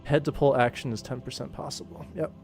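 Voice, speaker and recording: A man's voice: medium pitch, steady tone, fast talker, darkish tone, soft background music. A young man talking casually as a livestream host, rambling playfully and thinking aloud as he works. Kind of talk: casual livestream chat while working.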